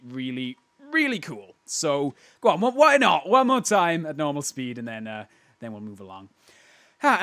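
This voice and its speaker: A young man with a hoarse, worn-out voice, pitched a touch higher than most men. He hosts a casual replay showcase, rambling, joking at himself and restarting sentences. The sound is dry and close, with no room around it. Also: a bit bright, no echo. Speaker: host.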